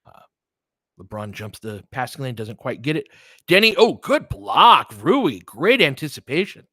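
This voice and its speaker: A man's voice: mid-range voice, very animated, unhurried, pushed and bright, no room sound, studio quality. A man doing casual live basketball play-by-play in short, clipped phrases, with informal asides as a fan would make. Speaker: play-by-play commentator and streamer.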